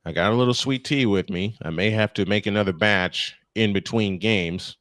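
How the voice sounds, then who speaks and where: A man's voice: lowish voice, normal speed, fairly bright tone, dry recording. A man doing live baseball play-by-play, folksy and chatty as he banters with co-hosts. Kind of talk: live sports commentary with casual banter.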